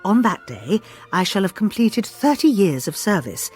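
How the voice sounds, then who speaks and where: An actress playing a stiff, officious schoolmistress nearing sixty, formally reading out a memo in a radio drama. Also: female voice, medium pitch, sing-song, moderate pace, a little mellow, breathy edge, faint music behind. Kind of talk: radio drama, read-out letter.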